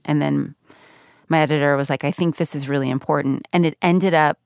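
Low gravelly american woman, middle-aged, candid guest chat, dry studio mic. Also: steady tone, a little fast, no room sound. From United States. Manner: reflective, candid, laughs easily. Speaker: guest, radio journalist. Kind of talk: conversational interview.

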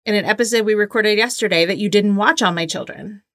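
A woman's voice: mid-range voice, fast talker, fairly bright tone, no room sound, clean studio sound. A woman co-hosting a trivia game in casual chat, with a playful, teasing manner. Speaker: co-host of a trivia game podcast.

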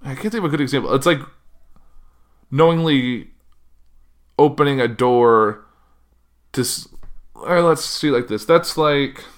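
A man hosting a podcast, talking casually and rambling, with a loud voice that carries and frequent self-corrections. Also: medium pitch, measured pace, long pauses. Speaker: podcast host. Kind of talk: casual solo chat.

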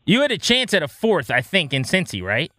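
A man's voice: a little high, wildly swooping pitch, brisk, pushed and bright, no room sound. A man hosting a sports talk show, presenting segments and interviewing guests in an upbeat radio style.

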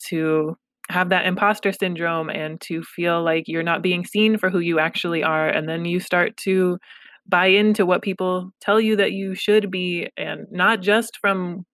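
A woman's voice: on the low side, fairly even intonation, unhurried, a bit bright, no echo. Candid woman therapist, dry close sound. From Los Angeles, United States. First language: Pashto. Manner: candid, reflective, self-deprecating humor. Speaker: therapist, guest. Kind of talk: casual conversational interview.

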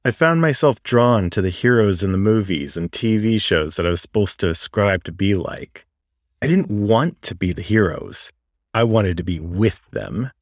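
A man reading a first-person story aloud as an audiobook narrator, in a reflective, confessional way with deliberate pauses. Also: lowish voice, animated, no room sound, clean studio sound. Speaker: story reader.

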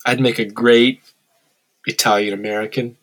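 A man's voice: medium pitch, level tone, moderate pace, a bit bright, low-quality recording. A man in a candid interview, talking reflectively with wry humor and occasional bluntness. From Korea. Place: lives in Tokyo.

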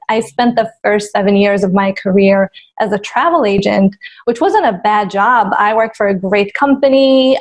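A woman from Israel, a marketing executive, answering interview questions in a thoughtful, candid way. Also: mid-range voice.

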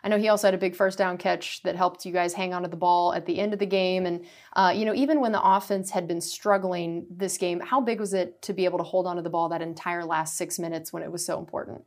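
A woman's voice: on the low side, fairly even intonation, fast talker. A young woman, a team reporter hosting a radio show, asking long, wordy questions with fillers.

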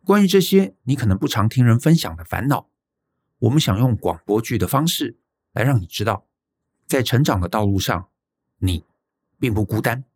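A man's voice: medium pitch, darkish tone, husky. A man with a Taiwanese accent presenting a scripted introduction to a programme.

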